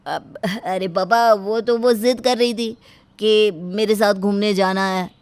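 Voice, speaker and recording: A woman's voice: medium pitch, fairly even intonation, takes it slowly, a little mellow, clear, resonant tone, no room sound. A woman voicing a selfish, immature young man, a spoiled son in a moral story drama. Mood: whiny excuses.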